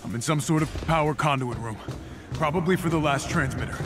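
An actor playing a resolute, earnest heroic soldier who leads a team in a video game. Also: male voice, a little high, brisk, lightly acted. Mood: determined. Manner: earnest, determined. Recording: loud music over the voice.

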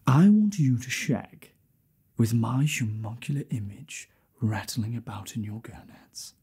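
A man's voice: medium pitch, expressive, moderate pace, warm tone, slightly rough. A man acting an arrogant, lewd libertine nobleman who addresses the audience in a theatrical monologue. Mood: intimate, sinister.

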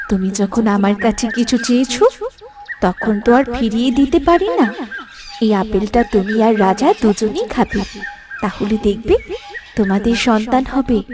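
A woman performs a kindly, regal spirit of nature from a fantasy cartoon. Her voice is fairly high, and a faint crowd of other sounds sits behind it. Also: natural voice. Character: benevolent regal nature goddess, protector of animals. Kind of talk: cartoon fairytale acting.

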